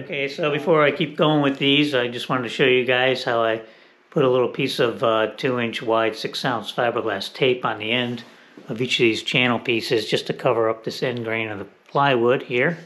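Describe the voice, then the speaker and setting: A man's voice: medium pitch, fairly bright tone. A man in his fifties restoring a boat, explaining his work in a plain, casual way with some fillers, recorded in an indoor workshop on a camera mic.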